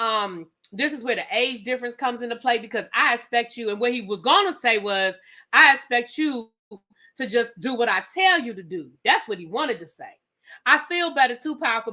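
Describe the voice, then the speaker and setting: A woman hosting a live TV recap, telling the story casually and acting out the quotes, with some swearing. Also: sits high. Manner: animated storytelling, acts out quotes; profane, conversational. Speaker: TV show reviewer.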